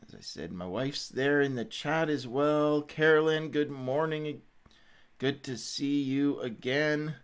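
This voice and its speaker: A man's voice: on the high side, slow pace, smooth. A middle-aged man hosting a devotional livestream in an informal, conversational, stop-and-start way. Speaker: host of a Bible read-along livestream. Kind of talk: casual devotional livestream talk.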